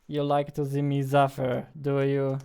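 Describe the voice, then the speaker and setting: A man's voice: medium pitch, completely flat delivery, unhurried, creaky, mellow, clear, resonant tone. A man in his twenties chatting casually on a live stream into a close studio mic, informal and self-deprecating. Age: 20s. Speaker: game developer live-streamer. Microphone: close studio mic on boom arm.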